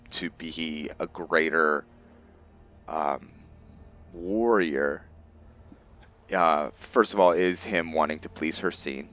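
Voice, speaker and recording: A man giving casual gameplay commentary on his roleplay playthrough, musing aloud in a halting way. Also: sits low, fairly bright tone. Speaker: gamer and streamer narrating his roleplay playthrough. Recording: muffled audio with faint background noise.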